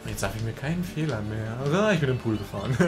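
A man's voice: mid-range voice, expressive, a little fast. A man co-commentating a racing game, laughing often and teasing his partner, with game music underneath. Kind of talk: casual gaming commentary.

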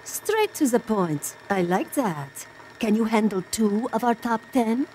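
Poised condescending assassin broker. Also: female voice, fairly high pitch, big pitch swings, measured pace, a little mellow, low-quality recording. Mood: amused, dismissive. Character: coolly condescending, seductive woman running assassin rankings. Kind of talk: video game cutscene acting. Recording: game audio with clear background noise.

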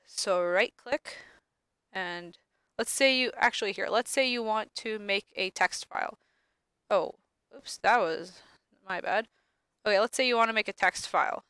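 A young woman lab instructor, sniffly from allergies, talking casually through a screen-recorded software tutorial with many ums. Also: medium pitch, a little slow, clear, resonant tone, no echo.

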